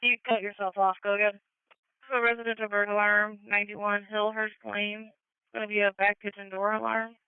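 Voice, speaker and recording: A woman's voice: mid-range voice, flat delivery, moderate pace, smooth, dry recording. A woman working as an emergency dispatcher, giving clipped, procedural announcements over a two-way radio. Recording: phone-like police scanner radio audio.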